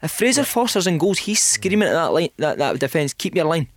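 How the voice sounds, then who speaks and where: Scottish guy, football pundit on radio, talks fast and punchy, higher voice, dry mic. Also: big pitch swings, dry recording. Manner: quick, chatty, emphatic opinions. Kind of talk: studio football discussion.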